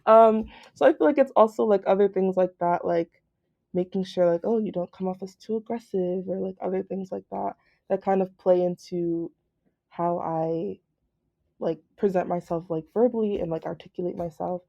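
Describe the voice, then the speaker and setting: A young woman student chatting on a podcast, with a slightly deep voice and heavy use of "like". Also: normal speed, vocal fry, dark tone, lo-fi sound. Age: young adult. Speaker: student guest. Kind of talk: casual podcast discussion.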